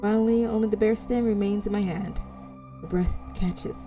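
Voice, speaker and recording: Low-voiced woman reading a game aloud. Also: fairly even intonation, warm tone. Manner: dramatic reading, halting over words. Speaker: visual novel player. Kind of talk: reading game text aloud with casual reactions. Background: music.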